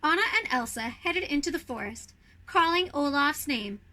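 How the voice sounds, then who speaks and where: High, very bright woman telling a children's story. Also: normal speed. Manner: expressive, addresses young listeners directly with questions. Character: snow queen telling her own Christmas story. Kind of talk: animated storybook reading for children.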